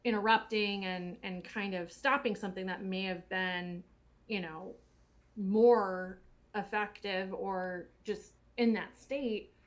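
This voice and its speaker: Earnest woman with a medium-pitched voice. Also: steady tone, takes it slowly. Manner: earnest, motivational, coach-like. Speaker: audio drama cast or crew member giving commentary. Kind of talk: casual reflective commentary.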